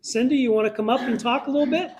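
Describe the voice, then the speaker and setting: A man with a notably high voice hosts a club meeting. He introduces speakers in an informal, conversational style. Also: fast talker, heavy background noise.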